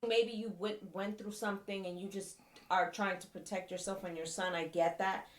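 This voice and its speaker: A woman's voice: medium pitch, fairly even intonation, moderate pace. A woman in a casual chat, talking in an easygoing way with many fillers as she thinks aloud. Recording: echoey, from off-mic.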